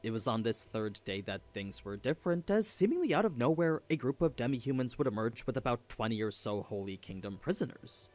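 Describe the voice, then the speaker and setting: A man's voice: mid-range voice, animated, brisk, few pauses, a little mellow. A man giving a scripted story recap as a video narrator.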